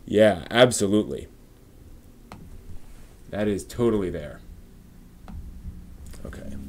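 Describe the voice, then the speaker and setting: A man's voice: lowish voice, a little mellow. A man hosting a casual live stream, rambling and often muttering to himself as he works.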